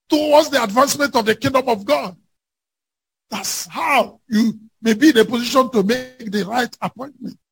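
High-pitched, gravelly man preaching. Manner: emphatic, declamatory, often raising voice to a shout. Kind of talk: fervent sermon with scripture readings.

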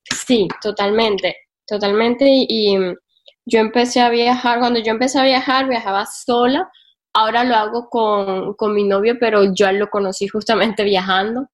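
A woman's voice: fairly high pitch, fairly even intonation, normal speed. A woman from Colombia's Caribbean coast with that region's Spanish accent, telling her experiences candidly in a casual interview and repeating words for emphasis. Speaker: interview guest, solo traveler and travel writer.

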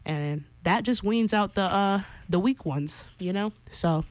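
A woman's voice: mid-range voice, measured pace, no echo. Chatty young woman radio host, dry sound. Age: 20s. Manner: animated, chatty, opinionated, lots of fillers. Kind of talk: casual radio talk segment.

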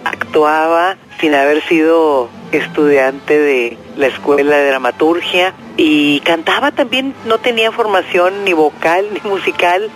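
A man from northern Mexico with a regional accent, reflecting conversationally as an interviewed expert and pausing to search for words. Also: on the high side, fairly bright tone. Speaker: interviewed expert on film history. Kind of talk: documentary interview, reflective explanation.

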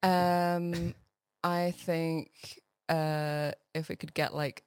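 A woman's voice: sits low, flat delivery, very slow, clean tone, no room sound. A woman guest on a radio show talking about her festival work, friendly and giggly with many fillers. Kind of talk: radio interview.

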